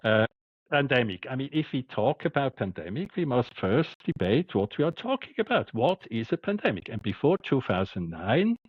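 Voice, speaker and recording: A man with a Swiss accent, a doctor speaking as a podcast guest, halting and repeating words but emphatic. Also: medium pitch, big pitch swings, slightly rough, no echo, lo-fi sound. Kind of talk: podcast interview.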